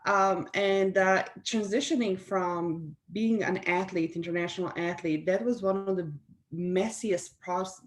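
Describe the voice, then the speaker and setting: A middle-aged woman from Slovenia with a Slovenian accent, answering interview questions in a reflective, conversational way with small restarts and soft laughs. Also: mid-range voice, steady tone, a little slow, slight background noise. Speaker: guest speaker.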